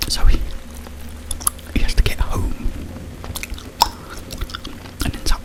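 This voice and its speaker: A man speaking in a breathy, unvoiced whisper, rambling informally and soothingly in an ASMR style. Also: slow, poor audio. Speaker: ASMR video creator and host. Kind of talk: whispered ASMR ramble retelling a film plot.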